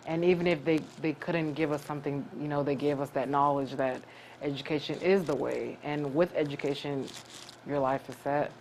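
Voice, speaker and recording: A young woman from Cameroon, a graduate student, answering interview questions earnestly with many pauses and restarts. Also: sits low, steady tone, a little mellow, a little hiss or hum, rough recording.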